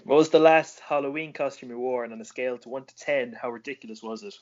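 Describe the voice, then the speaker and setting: A man's voice: mid-range voice, fast. A man hosting a casual chat, asking questions while swearing constantly and teasing his guest. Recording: dull lo-fi recording.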